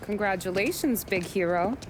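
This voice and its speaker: A woman's voice: mid-range voice, expressive, normal speed, close to an everyday voice. Actress as teasing woman, noisy surroundings.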